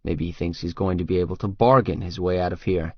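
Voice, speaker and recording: A man's voice: deep voice, fast, no room sound, lo-fi sound. A man reading scripted first-person fiction as an audiobook narrator.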